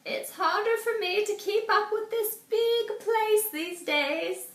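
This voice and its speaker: A sweet, lonely old lady from a storybook speaks in a high, welcoming voice, put on by a woman reading aloud. The sound is roomy and a little distant from the mic. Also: slow. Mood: kindly.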